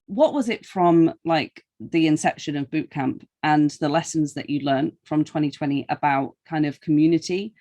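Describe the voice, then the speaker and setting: A woman's voice: sits low, moderate pace, hardly any pauses, mellow. A woman interviewing a podcast guest in a casual, conversational style with an informal, teasing manner.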